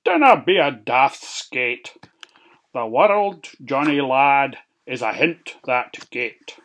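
A boastful, bold Scottish pig, as a storyteller puts him on, scoffing and loud, his pitch rising and swooping. A cocky storybook character. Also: expressive, takes it slowly.

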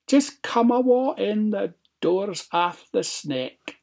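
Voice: medium pitch, expressive, slow pace, gravelly, darkish tone, voice pushed up, heavily acted. A storyteller of unclear sex voicing a doting, frail granny in her sixties from a storybook, weary, with a Scottish accent. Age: 60s. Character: doting, frail old granny, sixty, poorly. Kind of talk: storybook character voice.

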